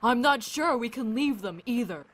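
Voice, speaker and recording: A woman acting a weary, compassionate and wry scientist in an audio drama, speaking in a halting, thoughtful way. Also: on the high side, lively intonation, a bit bright. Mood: tired, hesitant.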